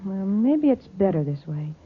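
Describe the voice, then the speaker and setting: An actress playing a cool, calculating, villainous space-line boss who schemes smoothly in a vintage radio serial. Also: female voice, mid-range voice, sing-song, moderate pace. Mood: coolly scheming. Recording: tinny, narrow old radio recording.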